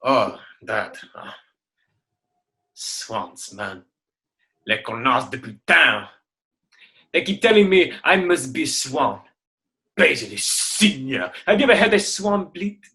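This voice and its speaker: A man's voice: medium pitch, sing-song, slow, pauses often, pushed and bright, heavily acted. A man voicing a proud, grumbling ugly duckling in an irreverent radio play. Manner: grumbling, boastful, peppers speech with French. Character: proud, indignant ugly duckling who refuses to be swan.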